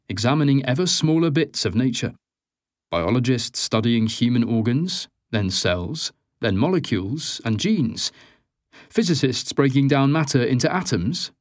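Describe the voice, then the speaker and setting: A man reading scripted nonfiction as an audiobook narrator, with measured, articulate delivery. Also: medium pitch, dry recording, studio quality.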